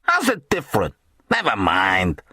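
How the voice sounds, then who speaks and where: A man's voice: on the low side, huge pitch swings, measured pace, sharp tone, slightly rough, no echo, studio quality, full character voice. A man voicing a crass middle-aged tabloid boss, an ex-thug who is blunt and impatient, in an audiobook. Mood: impatient, barking. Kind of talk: audiobook character acting.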